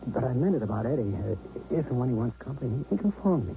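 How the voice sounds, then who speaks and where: A man's voice: medium pitch, big pitch swings, very fast, creaky. An actor playing a cheerful, bumbling middle-aged neighbor who stammers as he talks. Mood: offended. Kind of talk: 1970s radio drama acting. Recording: dull old radio broadcast with hiss.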